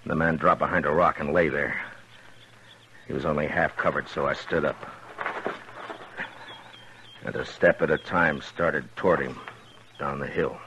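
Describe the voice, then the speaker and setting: An American actor playing a steady, terse US Marshal in a 1950s western radio drama, speaking with measured authority. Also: male voice, very deep voice, dull audio, a little hiss or hum, heavily acted. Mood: grim, measured. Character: grim, steady male US Marshal of the old West. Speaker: lead actor and first-person narrator. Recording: band-limited old radio recording, music behind.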